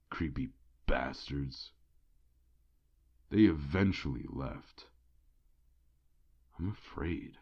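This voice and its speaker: Horror audiobook: male actor as paranoid, frightened security guard, lowish pitch, halting and intense, muffled sound. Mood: hushed, uneasy. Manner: halting, intense, clipped sentences. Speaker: audiobook narrator. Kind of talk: dramatic first-person horror audiobook narration.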